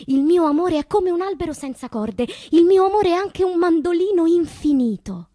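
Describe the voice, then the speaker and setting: An actress playing a tormented, wistful woman poet in a theatrical monologue, dramatic and deliberate, with a breathy, husky voice. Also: very high-pitched, lively intonation, dry recording, studio quality. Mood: impassioned. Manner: dramatic, slow deliberate delivery with hushed passages. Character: tormented woman poet recalling destructive loves, wistful and intense.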